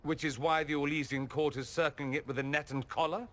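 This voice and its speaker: A man's voice: a little high, fairly even intonation, brisk, a bit bright, lightly acted. A man playing a witty, theatrical mage ambassador in a video game, arch, eloquent and affectionate. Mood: wry, playful. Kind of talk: video game cutscene acting.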